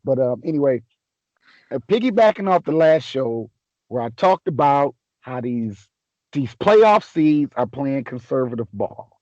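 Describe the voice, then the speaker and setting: A man hosting a casual sports chat, a little raspy from a sore throat, talking in a laid-back, joking way with many restarts. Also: mid-range voice, very animated, a little slow, a little mellow, no echo, muffled recording. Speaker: podcast host.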